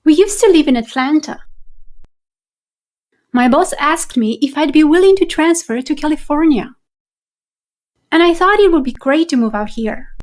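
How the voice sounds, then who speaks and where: A woman's voice: sits high, animated, normal speed, pauses often. A woman playing a polite, friendly woman who just moved in and works in IT. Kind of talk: scripted conversation for listening practice.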